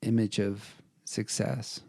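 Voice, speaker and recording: A man's voice: fairly low pitch, steady tone, slow, creaky, darkish tone, no echo, clean studio sound. A man co-hosting a podcast in casual debate, bantering in a self-deprecating way. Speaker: podcast co-host.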